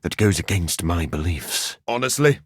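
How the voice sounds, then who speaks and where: A brooding, learned male vampire from a video game. His low voice is husky and moves expressively up and down, with formal, articulate phrasing and dry wit. The sound is close and dry. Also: animated, moderate pace, no room sound, heavily acted. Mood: wry, reflective. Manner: articulate, formal, dryly witty. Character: sophisticated, bookish living vampire scientist, dry and brooding. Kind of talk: video game character voice lines.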